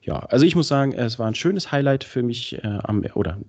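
A man hosting a podcast in casual chat, with a cold, chatty and filling pauses. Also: medium pitch, expressive, darkish tone. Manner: chatty, many fillers. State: has a cold. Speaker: podcast host. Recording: dull lo-fi sound.

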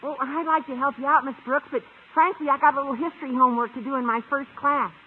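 An actor playing a squeaky, eager and cheeky teenage high-school boy in a 1950s radio comedy, with mock-formal flourishes. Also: steady tone, quick pace. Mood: chatty, cocky. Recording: tinny old radio recording, faint noise.

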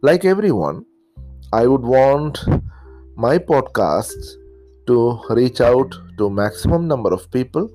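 A man's voice: mid-range voice, sing-song, takes it slowly, dark tone, soft background music, poor audio. A middle-aged man from Kerala, India, speaking English with a Malayalam accent as he earnestly opens his own podcast and thanks friends one by one. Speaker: podcast host. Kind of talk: solo podcast introduction.

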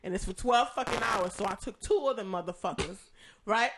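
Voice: medium pitch, normal speed, creaky, noisy background. A podcast co-host who is losing their voice to a cough, telling a long, profane story in casual chat.

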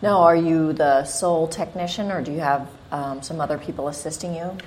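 A woman's voice: low voice, fairly even intonation, a little fast, a little mellow, slight background noise. A woman hosting an interview, moving between a prepared introduction and conversational questions. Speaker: interview host, chamber of commerce executive.

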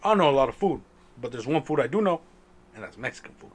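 Animated, profane young man with faint noise. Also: mid-range voice, fast talker. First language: Spanish. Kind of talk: casual chat among friends.

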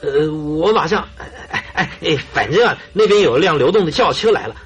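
Eager bridegroom, high animated voice. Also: male voice. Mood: flustered. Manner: coaxing, halting with interjections. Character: eager, flustered bridegroom, coaxing and shifty. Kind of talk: radio drama acting.

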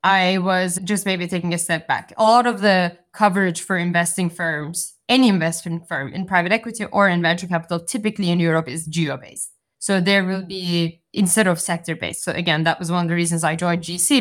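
Woman investor from turkey talking through her career, lowish voice, lots of "so" and "you know". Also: fairly even intonation, brisk. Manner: articulate, structured explanations with frequent "so" and "you know". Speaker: venture capital partner, guest. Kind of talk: conversational interview answers.

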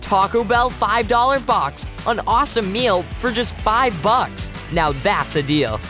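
A man's voice: sits high, animated, a little slow. A young man, a teen or young adult voice actor, in a produced voice-over recording. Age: teens to young adult. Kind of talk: commercial voice-over and testimonial. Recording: produced demo with music behind. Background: music.

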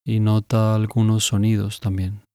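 A man calmly and gently guiding a meditation, instructing with long pauses between phrases. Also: lowish voice, clean tone, dry recording, clean studio sound. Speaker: meditation guide. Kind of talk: guided meditation.

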